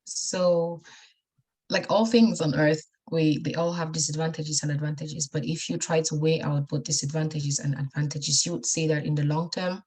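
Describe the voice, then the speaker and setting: Husky, even-toned student presenter. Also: medium pitch, moderate pace. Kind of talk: answering questions in a seminar.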